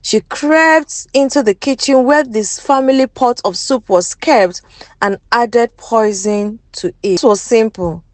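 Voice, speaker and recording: A woman's voice: fairly high pitch, a little slow, darkish tone, no echo. A woman with a Nigerian accent narrating a folktale in a dramatic storytelling style.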